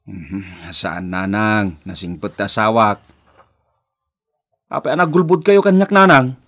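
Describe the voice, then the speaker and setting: A man's voice: lowish voice, unhurried, fairly bright tone. A Filipino man with an Ilocano accent acting a bewildered young husband in a radio drama, questioning and pleading. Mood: reproachful. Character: bewildered husband caught between wife and mother.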